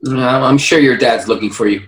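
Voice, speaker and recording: A man's voice: medium pitch, brisk, lightly acted, low-quality recording. An actor playing a wry, defensive young Californian man with Venezuelan parents, in a play reading. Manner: wry, laughing things off.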